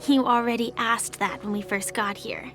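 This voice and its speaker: A woman voicing a gentle, earnest and quietly determined young girl in a video game, polite and sincere. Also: fairly high pitch, fairly even intonation, normal speed, over music, natural voice. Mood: polite, calm. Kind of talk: video game character dialogue.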